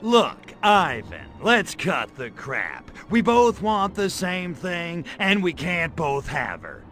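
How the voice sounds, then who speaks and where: Slow, swaggering alien wise guy. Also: fairly high pitch, huge pitch swings, a bit bright, soft background music, heavily acted. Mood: impatient, wary. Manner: sardonic, swaggering, flippant. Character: cocky, wisecracking, tough-guy male alien invader. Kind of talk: comedic video game acting.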